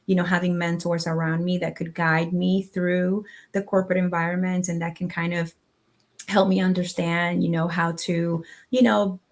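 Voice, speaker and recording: A woman's voice: fairly low pitch, little pitch movement, moderate pace. A woman with a Dominican Spanish accent, speaking earnestly as a panelist and filling her talk with "you know". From Dominican Republic. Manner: earnest, personal, many filler "you know"s. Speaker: panelist, diversity executive. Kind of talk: panel discussion.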